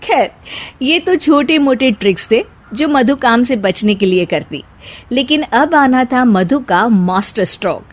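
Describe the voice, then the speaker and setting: A woman's voice: on the high side, lively intonation, unhurried. A woman reading scripted cartoon story narration as the storyteller.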